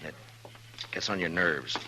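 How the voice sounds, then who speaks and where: An actor playing a stoic, world-weary western marshal, terse and dry, in a 1950s radio drama. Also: male voice, deep voice, unhurried. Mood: brooding. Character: stoic, world-weary frontier lawman of the old West. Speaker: town marshal. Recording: vintage radio broadcast with faint noise.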